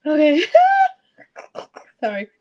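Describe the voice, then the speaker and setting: A woman's voice: high-pitched, slow pace, darkish tone, dull audio. A woman co-hosting a book club podcast, reading aloud dramatically and casually, breaking off to comment and swear.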